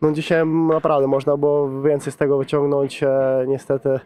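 A footballer in his thirties answers post-match questions, pausing and restarting often. His voice sits a little high and stays level. He speaks into a handheld mic outdoors. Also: little pitch movement, dark tone, smooth. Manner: hesitant, many fillers and restarts. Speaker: football player. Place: pitchside interview backdrop at a stadium, outdoors. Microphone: handheld reporter's mic.

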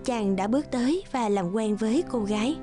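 A woman's voice: on the high side, a little fast, music in the background. A woman giving scripted fairy-tale narration as the storyteller of a cartoon.

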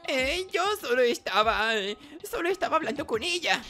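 Man acting a melodramatic, high-strung nerdy boy. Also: lively intonation, moderate pace, bright, forceful tone, faint music behind, exaggerated voice. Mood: flustered. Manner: whiny, stammering, overdramatic.

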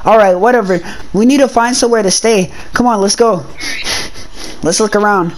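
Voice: mid-range voice, moderate pace, natural voice, poor audio. Teenage demon boy acted out by a player, slangy, exclaiming, improvised game roleplay, faint noise. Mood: casual. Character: excitable teenage demon boy with powers, on the run.

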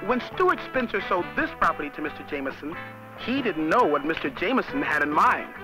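A man's voice: a little high, very animated, a little fast, over music, poor audio. A young American man playing a cheerful college student who narrates a 1970s educational film in a folksy, chatty way. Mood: folksy, upbeat. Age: young adult. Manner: folksy, chatty, addressing the viewer. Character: cheerful young college student guiding viewers round his street. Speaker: on-camera narrator.

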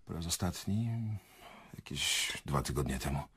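Radio drama: dazed, evasive director, low and very husky, hesitant delivery. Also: male voice, expressive, fairly bright tone, heavily acted. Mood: guarded, subdued. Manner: hesitant, halting. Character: shaken, evasive male theatre director, halting and dazed. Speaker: actor in a radio play.

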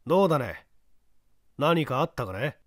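Male reader as police chief, blunt, teasing, condescending, animated delivery, dry sound, audiobook. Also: medium pitch, moderate pace, no echo. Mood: mocking. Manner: blunt, patronizing.

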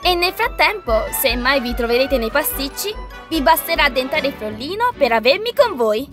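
A woman's voice: high voice, sing-song, normal speed. A woman voicing a plucky, spirited teacup heroine in a cartoon fan dub, with emphatic storybook delivery. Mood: earnest, warm. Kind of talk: theatrical cartoon dubbing. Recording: music under the voice.

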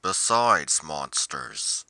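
Man playing a slow, brooding monster investigator. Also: low voice, lively intonation, gravelly, pushed and bright, dry recording, exaggerated voice. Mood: brooding, musing. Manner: slow, deliberate, pausing mid-sentence, musing. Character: brooding, solitary male monster investigator musing on mysteries. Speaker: roleplay performer. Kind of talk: dramatic roleplay monologue.

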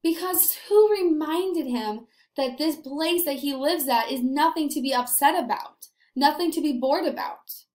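High-voiced, animated young woman teacher. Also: unhurried, few pauses. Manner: animated, encouraging, emphatic. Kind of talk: read-aloud lesson for young children. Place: small indoor room. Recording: echoey small room.

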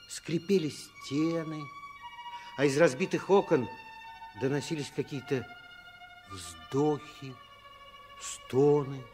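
A man's voice: on the high side, mellow. A man narrating a children's story as scripted narration in a 1960s radio play.

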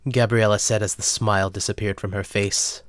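A man's voice: on the low side, flat delivery, brisk, clean tone, dry recording, clean studio sound. A man narrating a scripted dramatic story as the narrator.

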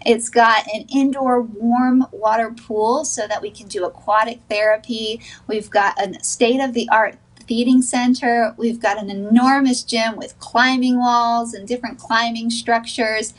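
A woman's voice: fairly high pitch, fairly even intonation, unhurried, hardly any pauses, low-quality recording. A woman in her thirties, an occupational therapist, speaking earnestly and upbeat as a guest on a morning show, joining by video call from a small wood-paneled room. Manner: earnest, upbeat, laughs between points. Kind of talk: sponsored TV interview, remote.

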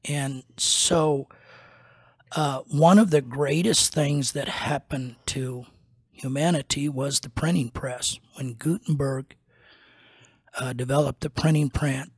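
Older man, pastor hosting a podcast, husky voice, dry close sound, rambling unscripted teaching. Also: medium pitch, steady tone, no room sound. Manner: slow, rambling, many fillers and restarts. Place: small wood-paneled room indoors. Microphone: studio mic on a boom arm.

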